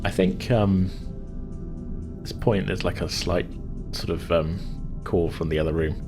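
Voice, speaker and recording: A man improvising as game master in a tabletop game, explaining things in a halting, chatty way. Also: low voice, steady tone. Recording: music playing behind the voice. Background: background music.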